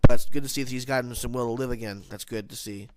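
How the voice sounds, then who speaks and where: A man talks through his TV episode review in a casual, rambling way, stopping often to restart. His voice is nasal and stuffed up from hay fever, yet it stays smooth and clear. The sound is dry and close, with no room echo. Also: medium pitch, fairly even intonation, quick pace. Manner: rambling, many restarts and self-corrections.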